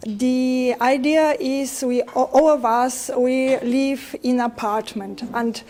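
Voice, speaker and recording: Bulgarian woman pitching her prototype. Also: steady tone, mellow, a little hiss or hum. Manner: halting, non-native phrasing. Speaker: startup founder presenting. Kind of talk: pitch presentation.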